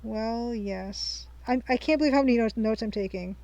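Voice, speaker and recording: A young woman hosting a game playthrough, chatting casually and thinking aloud as she reads her notes slowly. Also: on the high side, warm tone, no room sound, highs cut off. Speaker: let's-play host. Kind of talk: casual let's-play commentary.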